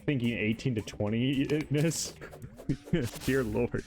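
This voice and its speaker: A man's voice: medium pitch, slow pace, noisy background. A man in his thirties with a light voice, chatting casually while streaming a game and rambling through answers to his chat.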